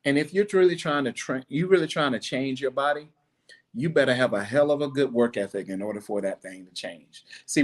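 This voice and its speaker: A middle-aged man hosting a podcast, giving a blunt, preachy monologue with animated asides. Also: mid-range voice. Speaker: podcast host. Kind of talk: casual monologue.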